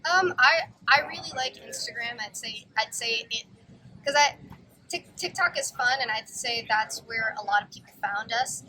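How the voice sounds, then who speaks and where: A woman's voice: a little high, animated, moderate pace, sharp tone, slight background noise, rough recording. A woman in a band giving earnest answers during an outdoor festival interview, with frequent fillers. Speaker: band member. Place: outdoor music festival grounds.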